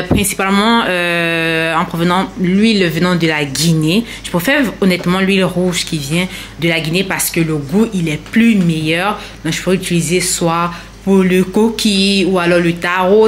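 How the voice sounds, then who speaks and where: A woman's voice: lowish voice, a little hiss or hum. A woman chatting casually and explaining things directly to viewers in a vlog. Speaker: lifestyle vlogger. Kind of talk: casual grocery haul vlog narration.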